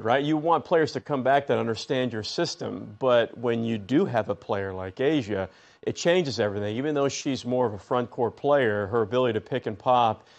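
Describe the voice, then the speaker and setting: A young man working as a studio basketball analyst, making his point with emphasis and building an argument. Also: medium pitch.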